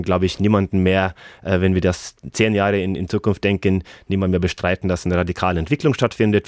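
A man's voice: low-pitched, darkish tone, no echo, studio quality. A man with a Norwegian accent, a German-speaking guest on a radio interview, talking earnestly with many hesitations. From Norway.

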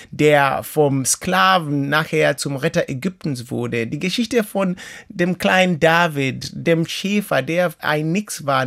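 Earnest gravelly-voiced middle-aged man. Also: on the high side. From Kenya. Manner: earnest, measured, reflective. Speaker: interview guest, author and teacher. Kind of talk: personal life story in an interview.

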